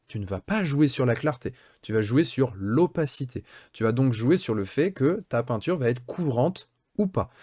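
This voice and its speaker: A man's voice: medium pitch, darkish tone, no echo, studio quality. A man in his late thirties with a French accent, a hobby channel host casually reading and answering viewer questions, chatty and full of fillers. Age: 30s. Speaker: miniature painting channel host.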